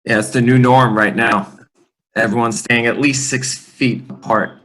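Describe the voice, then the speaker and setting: A man's voice: medium pitch, fairly even intonation, a little slow, a bit bright, rough recording. A man in his thirties, a fitness trainer, telling his life story in an easygoing, upbeat way as a podcast guest recorded remotely. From Lebanon, raised in the US. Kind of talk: casual interview.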